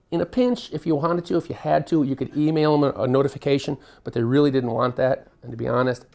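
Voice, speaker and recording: A man giving a live workplace safety presentation to a room of listeners, with a booming voice and a jokey, conversational manner. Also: gravelly, a little mellow. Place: meeting room with an audience.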